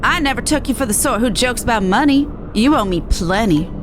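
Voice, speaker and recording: A woman's voice: on the high side, animated, fairly quick, noisy background, lightly acted. A woman playing a shrewd, demanding businesswoman in a fantasy game, with an assertive, knowing manner. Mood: slyly demanding.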